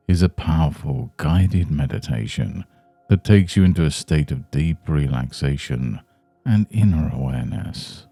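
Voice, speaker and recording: A man leading a guided meditation in a soothing, measured, hushed manner. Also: rumbling low, creaky, no room sound. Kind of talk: guided Yoga Nidra meditation.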